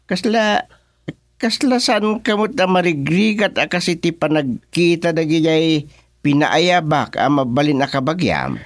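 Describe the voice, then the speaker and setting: High, drawling, animated astonished kinsman. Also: a little slow, no room sound, studio quality. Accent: Filipino, Ilocano. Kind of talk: Ilocano radio drama acting.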